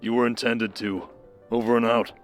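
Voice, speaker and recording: A man's voice: mid-range voice, flat delivery, normal speed, soft background music, lightly acted. A man playing a wry, authoritative baron with cold menace and formal speech in an audio roleplay drama. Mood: cold, commanding. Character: commanding, sardonic baron, coldly menacing schemer husband.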